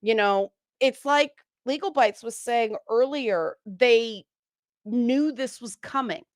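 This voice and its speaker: A woman's voice: fairly high pitch, slow, dry recording, studio quality. A woman speaking as a guest on a casual panel discussion, frank and opinionated.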